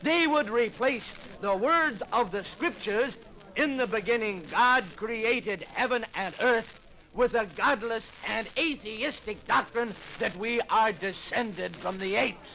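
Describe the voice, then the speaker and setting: High, impassioned fiery preacher. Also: male voice, slow, gravelly, sharp tone, exaggerated voice. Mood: indignant. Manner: declamatory, impassioned, rhetorical. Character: fiery fundamentalist Baptist preacher denouncing evolution to a crowd. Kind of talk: 1950s radio docudrama oratory. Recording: noisy vintage 1950s radio recording.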